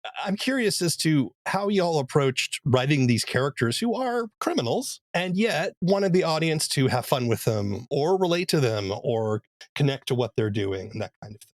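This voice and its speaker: A man hosting a podcast, asking questions in a relaxed, conversational way. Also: on the high side, moderate pace, no echo. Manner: inquisitive, conversational. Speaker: podcast host. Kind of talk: casual interview.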